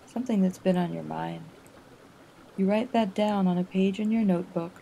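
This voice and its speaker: A woman narrating a guided relaxation exercise in a gentle, soothing manner, with unhurried phrasing and pauses. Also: lowish voice, creaky, slight background noise, low-quality recording.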